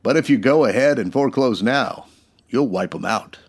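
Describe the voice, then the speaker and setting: A man playing a steady, decent United States marshal in an old-time radio western, plainspoken and folksy. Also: mid-range voice, moderate pace, creaky, husky. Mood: grave, disapproving.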